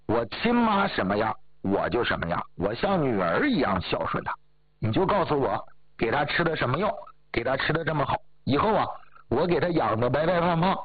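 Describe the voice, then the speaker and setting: A man voicing a young daughter-in-law who shouts accusations and then pleads for forgiveness, his voice husky. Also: mid-range voice, no echo, pitched above the performer's own voice. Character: scheming young daughter-in-law, later remorseful. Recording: tinny phone-like audio.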